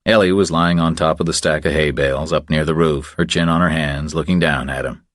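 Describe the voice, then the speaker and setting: A man's voice: extremely low pitch, fairly even intonation, a little fast, dry recording, clean studio sound. A man reading a thriller audiobook as a scripted narration.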